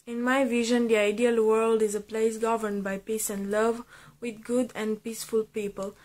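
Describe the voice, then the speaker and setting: A woman's voice: on the high side, level tone, normal speed, darkish tone. A young woman student reading a prepared statement, recorded outdoors.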